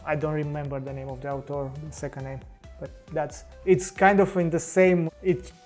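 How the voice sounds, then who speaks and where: A man's voice: fairly high pitch, steady tone, normal speed, a little mellow, faint music behind. A man with a Romanian accent, a podcast guest talking casually in English with frequent restarts and fillers. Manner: hesitant, many restarts and fillers. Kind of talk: casual interview talk.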